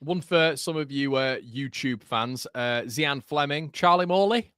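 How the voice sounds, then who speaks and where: A man's voice: medium pitch, measured pace, fairly bright tone, clear, resonant tone, no room sound, clean studio sound. A man with a Yorkshire accent, hosting a live football watchalong, calling the play and chatting with viewers in the live chat between moves. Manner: chatty, reads live chat, laughs at own jokes. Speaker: watchalong host and commentator. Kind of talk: live match watchalong commentary.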